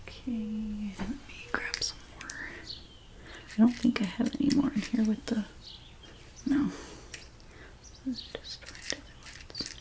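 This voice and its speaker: A woman doing a soft-spoken ASMR role play as a dressmaker, gentle and unhurried, her breathy voice often slipping into a whisper. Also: mid-range voice, steady tone. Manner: gentle, unhurried, reassuring explanations to a client. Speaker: ASMR creator role-playing a dressmaker at a fitting.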